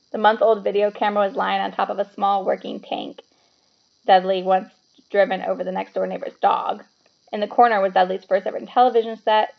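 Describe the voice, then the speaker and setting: A woman narrating a children's novel aloud as an expressive storybook reader. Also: mid-range voice, vocal fry, muffled recording.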